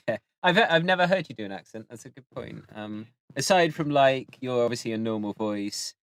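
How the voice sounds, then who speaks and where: Male stream host, mid-pitched, relaxed, stalls with ums between thoughts. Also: moderate pace. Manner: relaxed, hesitant, many ums. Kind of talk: casual chat on a live stream.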